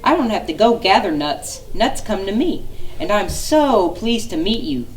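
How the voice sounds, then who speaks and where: A woman voicing a cheerful, fast-talking male squirrel who hoards nuts, in a storybook reading. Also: mid-range voice, lively intonation, distant mic, a little hiss or hum, pitched above the performer's own voice, natural voice, rough recording. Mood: chirpy. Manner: rapid, chirpy and eager.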